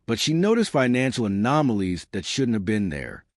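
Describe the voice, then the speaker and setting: Male mystery narrator, scripted first-person read, animated pitch movement, dry and close recording. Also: normal speed, no room sound.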